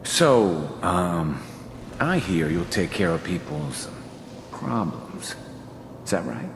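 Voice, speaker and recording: Shifty game client, low but swooping, halting and folksy, echoey noisy space. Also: male voice, very animated, creaky, exaggerated voice. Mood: nervous, sly. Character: hesitant, shifty male client hiring a killer. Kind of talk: video game voice acting.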